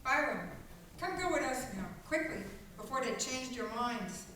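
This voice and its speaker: A woman's voice: sits high, animated, normal speed, sharp tone. A woman playing a prim, firm, well-to-do lady in a radio play, polite and no-nonsense. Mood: urgent. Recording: distant mic, hissy echoey room.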